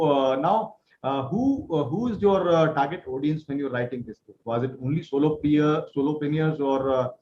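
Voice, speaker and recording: Enthusiastic Indian host, lo-fi call audio. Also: male voice, mid-range voice. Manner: enthusiastic, repeats praise, halting questions with fillers. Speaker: interview host and author coach. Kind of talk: interview over video call. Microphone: video call. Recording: dull lo-fi call audio with faint noise.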